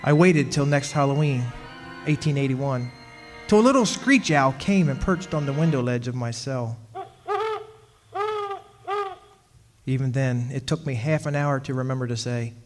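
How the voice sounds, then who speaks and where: A man's voice: mid-range voice, normal speed, natural voice. A man playing a henpecked husband haunted by his witch wife, telling his story in a wry, aggrieved, hesitant radio-drama manner. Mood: wry, uneasy. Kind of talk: old-time radio drama, storytelling monologue. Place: stage performance in a club hall. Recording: hall reverb, music behind.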